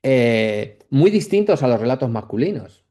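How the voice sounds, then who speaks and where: Mellow-voiced man lecturing. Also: mid-range voice, big pitch swings. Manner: reflective, halting, frequent check-in tags. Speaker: academic lecturer. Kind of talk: masterclass lecture, speaking off the cuff.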